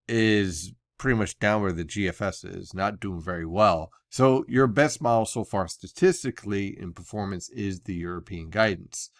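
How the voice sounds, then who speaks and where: Dry-sounding American male weather forecaster, lower voice. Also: no echo. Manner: conversational, explains technical detail step by step. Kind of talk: explanatory weather briefing, unscripted.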